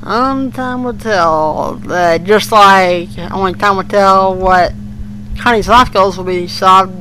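High, bright-voiced man on a podcast. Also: slow pace, slight background noise, low-quality recording. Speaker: podcast co-host. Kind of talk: casual fan podcast chat.

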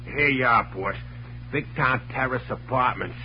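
Guy doing a slangy american cabbie sidekick, loyal but slow, tough talk, muffled noisy old radio show. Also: mid-range voice, natural voice. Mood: earnest, curious. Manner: slangy, ungrammatical, puzzled. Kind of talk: 1940s-50s radio drama acting.

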